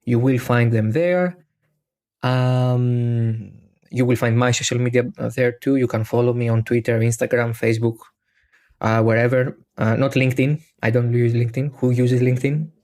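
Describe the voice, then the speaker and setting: Greek guy hosting a podcast, native greek speaker, mid-pitched voice, chatty, jokes around and pokes fun at himself. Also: steady tone, moderate pace, a little mellow. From Greece. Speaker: podcast host. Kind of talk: casual podcast chat.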